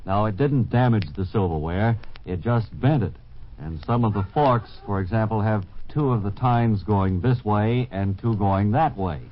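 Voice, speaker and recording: Guy doing eager old time radio ad man, deadpan, muffled noisy broadcast. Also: on the low side, normal speed, close to an everyday voice. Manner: deadpan, earnest selling. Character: straight-faced, eager male radio bargain announcer. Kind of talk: 1950s radio ad parody.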